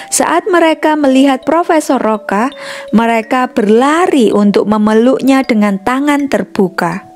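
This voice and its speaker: A woman narrates a scripted story for children. Her voice sits fairly high, and soft music plays behind her as she reads.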